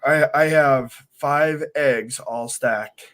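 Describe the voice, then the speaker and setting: A man's voice: mid-range voice, very slow, a bit bright. A man co-hosting a casual livestream chat with teasing, light-hearted banter. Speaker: co-host.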